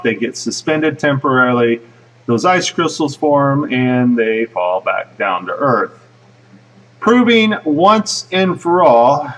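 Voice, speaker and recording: A man gives casual, explanatory commentary over a video. His pitch is average and his delivery is informal and a little halting, as if musing aloud. He sounds set back from the microphone. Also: a bit bright, far from the mic, poor audio. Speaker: video host and narrator.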